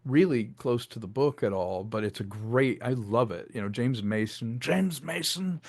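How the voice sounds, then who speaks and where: Male interview guest, lower-pitched, chatty storyteller, casual and rambling, dry close sound. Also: lively intonation, normal speed, dry recording. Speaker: interview guest, filmmaker and audio drama creator. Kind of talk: casual interview, storytelling about creative work.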